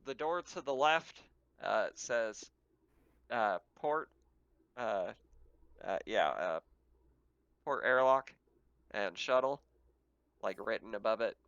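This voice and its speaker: A man's voice: fairly low pitch, animated, slow pace, pauses often, fairly bright tone, clear, resonant tone, no room sound, lo-fi sound. A man running a tabletop game as game master, describing scenes casually and a little hesitantly as he pieces them together.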